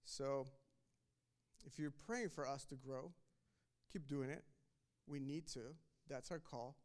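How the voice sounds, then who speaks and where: A man's voice: mid-range voice, fairly even intonation, slow pace. A man from Mexico, preaching a sermon in a conversational way, often restarting and repeating words. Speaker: pastor.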